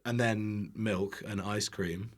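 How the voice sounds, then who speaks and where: A man's voice: fairly low pitch, fairly even intonation, takes it slowly. Informal British man in casual chat. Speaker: podcast guest, online cooking content creator.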